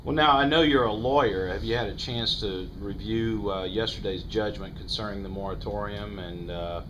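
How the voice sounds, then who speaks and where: Brief: steady interviewer, man, lowish voice, air conditioning hum clear behind. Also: normal speed. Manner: plain and steady, brief questions.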